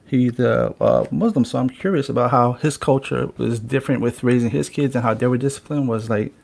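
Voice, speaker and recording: Man, gravelly voice, blunt casual podcast host, swears freely. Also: mid-range voice, darkish tone.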